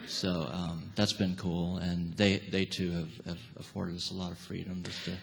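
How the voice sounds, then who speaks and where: Low gravelly guy, musician being interviewed, dry and halting, echoey noisy hall. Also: little pitch movement. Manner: dry humour, hesitant. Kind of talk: casual live interview. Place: on a theatre stage.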